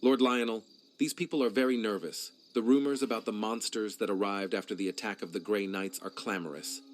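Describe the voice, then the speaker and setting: A man's voice: lowish voice, fairly even intonation, fairly quick, natural voice. A man playing a dutiful, uneasy soldier in a dramatic video game cutscene. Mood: reassuring, uneasy.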